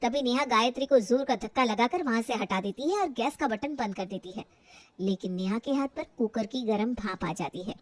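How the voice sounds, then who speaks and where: A woman's voice: fairly high pitch, expressive, moderate pace. A woman narrating a scripted family moral tale as a storyteller.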